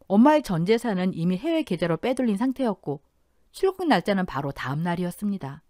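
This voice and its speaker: A woman's voice: mid-range voice, warm tone, no room sound, studio quality. A woman in her thirties reading a first-person family revenge story as a scripted narration, telling it in a dramatic, emotional way.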